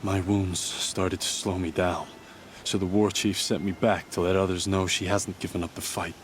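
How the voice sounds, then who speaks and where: Casting: man to play injured loyal warrior for a game, fairly low register, strained and earnest, slight background ambience. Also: little pitch movement, normal speed, slight background noise, close to an everyday voice. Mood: grim recounting. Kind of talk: dramatic video game cutscene acting.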